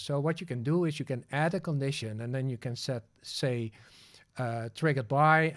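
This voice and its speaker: A Dutch man hosts a relaxed livestream tutorial, explaining in English as he works through his screen. He thinks aloud with plenty of fillers and self-corrections, and his voice sits at an ordinary male pitch. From Netherlands. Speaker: livestream host. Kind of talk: casual screen-share tutorial.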